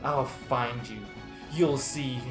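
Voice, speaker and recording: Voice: mid-range voice, fairly even intonation, takes it slowly, gravelly, roomy sound, music in the background. A performer voicing a vengeful young female killer who threatens and menaces her former friends in an amateur dramatic reading. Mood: vengeful rage.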